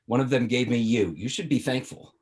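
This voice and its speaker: A man's voice: medium pitch, fairly quick, darkish tone, natural voice. An older man playing a flippant, much-married sitcom father who needles his grown son in a conversational, teasing way over a video call. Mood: needling. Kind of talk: sitcom table read.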